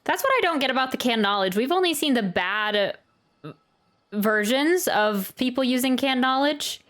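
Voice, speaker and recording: A woman's voice: sits high, animated, normal speed, fairly bright tone. A woman game streamer chatting casually as she reacts, rambling and stammering while thinking aloud. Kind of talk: casual gameplay reaction chat. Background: faint game music.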